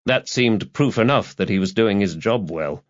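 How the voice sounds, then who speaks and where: A man's voice: lowish voice, expressive, normal speed, dull audio. A man narrating a scripted crime novel as an audiobook reader.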